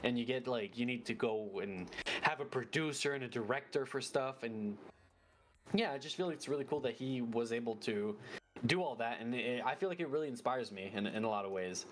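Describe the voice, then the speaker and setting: A man's voice: mid-range voice, fairly quick, slight background noise. Man chatting as a guest. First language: French. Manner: animated, repeats words while thinking. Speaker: guest content creator. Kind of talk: casual interview chat.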